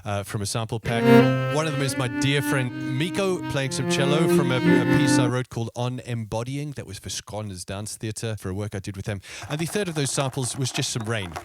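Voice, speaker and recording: A man's voice: mid-range voice, a little fast, hardly any pauses. A man hosting a music production tutorial, explaining step by step in an informal way and thinking aloud.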